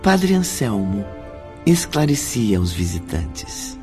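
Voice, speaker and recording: A man's voice: on the low side, big pitch swings, takes it slowly, a little mellow, faint music behind. A Brazilian man narrating a scripted radio drama.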